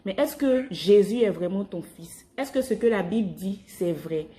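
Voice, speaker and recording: A woman's voice: mid-range voice, expressive, moderate pace, mellow. A woman evangelising on a phone call, explaining her faith informally and rephrasing as she goes. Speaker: evangelist calling strangers by phone.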